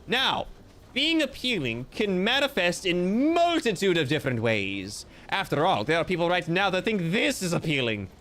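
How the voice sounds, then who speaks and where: Playful man with a lively, bouncing voice. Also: high-pitched, moderate pace. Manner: playful, theatrical, mock-grandiose joking. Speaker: streamer. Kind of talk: casual livestream chat reading viewer comments.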